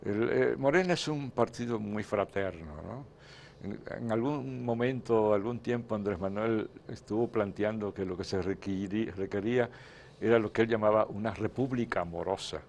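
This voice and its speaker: A man from the Dominican Republic, a guest in a political interview, speaking in measured phrases with frequent hesitations. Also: lowish voice, vocal fry, darkish tone, slight background noise. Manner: measured, hesitant with many "eh" fillers. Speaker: interview guest, former legislator.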